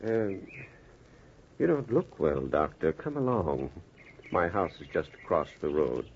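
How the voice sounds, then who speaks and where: A dull vintage radio recording of a grave missionary pastor. His voice is very low, with wide, expressive swings and a solemn, concerned manner. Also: unhurried, full character voice. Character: grave, helpful Protestant missionary pastor, man. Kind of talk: 1940s radio drama acting. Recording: muffled vintage 1940s radio, faint noise.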